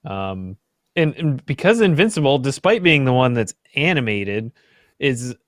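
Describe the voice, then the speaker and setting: A man's voice: medium pitch, big pitch swings, a little slow, dry recording, clean studio sound. A young adult man chatting casually and informally, with a sardonic streak and some swearing. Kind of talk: casual group chat.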